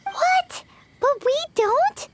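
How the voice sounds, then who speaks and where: Very high, squeaky little fairy. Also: female voice, expressive, extremely slow, heavy background noise, heavily acted. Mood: puzzled. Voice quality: squeaky, childlike. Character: tiny childlike floating fairy companion, perky and blunt. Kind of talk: video game voice acting. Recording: game music behind the voice. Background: background game music.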